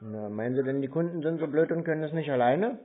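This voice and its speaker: A man's voice: fairly high pitch, quick pace. A man talking casually on a call, blunt and dismissive with short replies. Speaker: scam-baiter posing as a customer on a call. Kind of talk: casual phone conversation. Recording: narrow tinny phone line audio.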